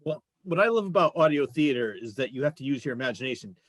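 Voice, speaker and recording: A man's voice: mid-range voice, expressive, fast, no room sound. A man hosting a casual interview show, talking in an enthusiastic, complimentary way. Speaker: show host. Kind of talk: casual chat, interviewing guests.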